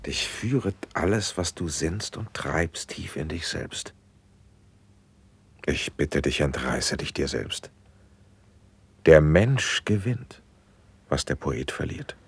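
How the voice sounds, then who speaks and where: An actor playing a benevolent, dignified prince in a classical verse radio drama, speaking in a husky voice with measured, paternal declamation. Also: male voice, extremely low pitch, lively intonation, heavily acted. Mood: gently urging.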